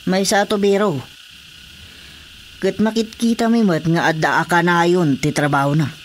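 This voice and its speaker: A man's voice: high-pitched, a little slow, a little hiss or hum. A man with an Ilocano accent playing an authoritative village captain in a radio drama comedy. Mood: matter-of-fact. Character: male village captain, matter-of-fact and authoritative.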